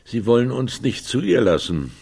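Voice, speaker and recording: A man's voice: mid-range voice, very animated, normal speed, creaky, darkish tone, breathy edge, heavily acted. An actor playing a brusque, sceptical man with a dry, pedantic manner in a naturalistic radio drama. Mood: dry, impatient. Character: brusque, sceptical man fretting over a decaying family house.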